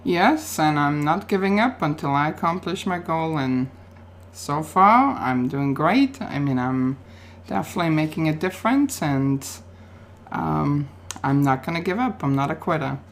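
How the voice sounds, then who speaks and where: A woman's voice: deep voice, lively intonation, a little slow, slight background noise. A woman from Austria with an Austrian accent, answering interview questions in an upbeat, determined way. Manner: upbeat, determined, speaks in short affirmations. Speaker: guest, plus-size model.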